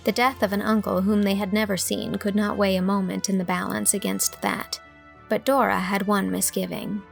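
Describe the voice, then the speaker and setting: A woman's voice: medium pitch, little pitch movement, normal speed, creaky, soft background music. A woman reading scripted audiobook narration as the narrator.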